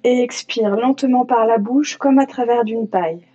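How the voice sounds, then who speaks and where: A woman leading a guided yoga nidra meditation as an instructor, calm and soothing, leaving long pauses. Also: on the high side. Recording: slightly dull lo-fi sound, music behind. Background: music.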